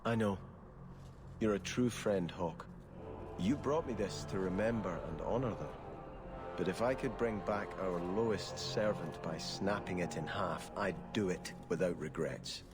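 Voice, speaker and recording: A solemn, devout prince in exile for a video game. His voice is deep and his speech is formal, earnest and measured over clear music. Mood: grateful, grieving. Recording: music behind.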